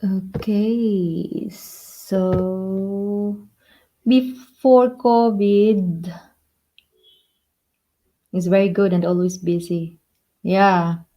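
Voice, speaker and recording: Woman, Filipino accent, native Filipino speaker, unhurried pace, medium pitch, halting simple phrasing, repetitive, casual live stream host. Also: steady tone, mellow, poor audio. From Philippines. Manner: halting, repeats phrases in simple second-language English.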